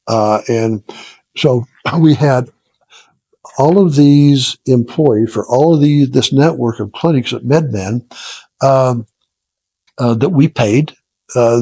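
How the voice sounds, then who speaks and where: A man in his seventies talks reflectively as an interview guest, in a slightly husky voice. He is halting and self-deprecating, often pausing to find the word. The sound is dry and close. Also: medium pitch, a little mellow, dry recording, rough recording. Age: 70s. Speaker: interview guest, retired business founder. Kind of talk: casual reflective storytelling in an interview.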